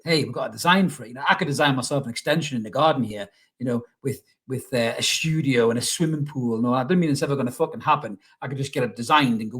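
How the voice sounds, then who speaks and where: A middle-aged man hosting a casual football fan vlog, chatty and rambling, with blunt opinions and rhetorical questions, in a slightly husky voice. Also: a little high, a bit bright. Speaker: YouTube football channel host.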